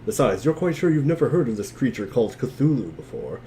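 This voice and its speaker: Man, theatrical and wry, animated pitch, dramatic reading, slightly noisy recording. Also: a little fast. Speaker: reader in a group dramatic reading. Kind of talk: dramatic reading of narration and dialogue with casual asides.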